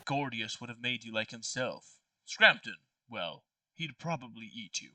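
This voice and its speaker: A man voicing a hired wizard in a party game, speaking with polite, measured menace. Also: medium pitch, sharp tone, no echo, recorded from a distance, poor audio.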